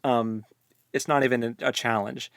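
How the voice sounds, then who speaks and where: A man co-hosting a casual book discussion, retelling things in an animated way peppered with "like". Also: medium pitch, normal speed, dry recording, studio quality. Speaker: book podcast co-host.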